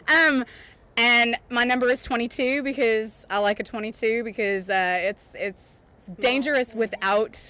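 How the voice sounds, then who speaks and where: A woman's voice: a little high, unhurried, bright, forceful tone. A woman with a Southern US country accent, a roller derby skater, answering interview questions candidly and laughing often. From Southeast Missouri.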